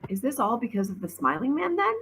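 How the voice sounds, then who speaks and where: A woman's voice: on the high side, big pitch swings, fast talker, fairly bright tone, slight background noise, voice pushed up. A woman voicing an earnest, anxious young girl in a storybook, with a questioning, pleading manner.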